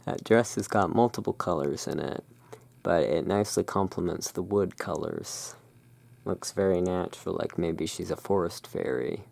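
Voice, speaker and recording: An ASMR host in a gothic shopkeeper roleplay, musing in an unhurried, gently whimsical way while commenting on cards. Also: low-pitched, gravelly, no room sound. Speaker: host playing a gothic curiosity shop owner.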